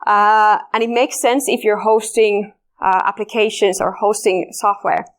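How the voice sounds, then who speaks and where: A woman with a Finnish accent presents at a security conference. Her pitch sits in the middle range for a woman, and she talks in a loose, conversational way, pausing on fillers and restarting her sentences. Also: steady tone. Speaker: conference speaker. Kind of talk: technical conference talk. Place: conference room.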